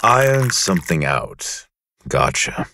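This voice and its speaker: An actor playing a suave, flirtatious, polite male surgeon with a deep, husky voice in a romantic comedy audio drama. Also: sing-song, slow pace, noisy background, full character voice.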